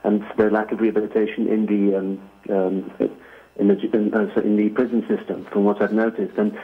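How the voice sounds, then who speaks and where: A man from Greece phones in to a talk show, his lowish voice coming through a thin, tinny phone line. He talks hesitantly, full of fillers and restarts, with a flat, even delivery. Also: brisk. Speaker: caller.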